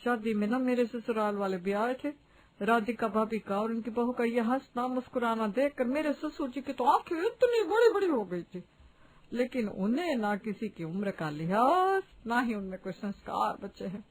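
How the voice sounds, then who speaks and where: A disapproving, gossipy married lady acted by a woman in a moral-story cartoon. Her high voice is prim and aggrieved. She laments dramatically, stretching words to show how shocked she is. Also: animated, normal speed, poor audio.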